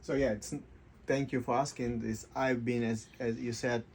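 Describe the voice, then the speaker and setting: A man with a mid-pitched voice answers as a radio interview guest. He explains step by step in short, halting phrases, often repeating words as he goes. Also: flat delivery, vocal fry, a little mellow, a little hiss or hum. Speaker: interview guest, life coach and kirtan teacher. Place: radio studio.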